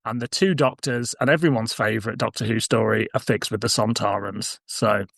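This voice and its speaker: A man's voice: lowish voice, steady tone, moderate pace, vocal fry, a bit bright, no room sound. Male podcast host, close dry sound. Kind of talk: casual podcast chat with scripted summaries.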